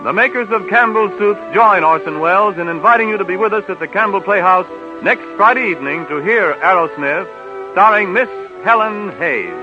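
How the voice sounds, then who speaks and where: A man's voice: sits high, a little fast, highs cut off. An American man as a 1930s radio announcer, reading scripted credits and sponsor messages. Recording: band-limited 1930s radio recording with music.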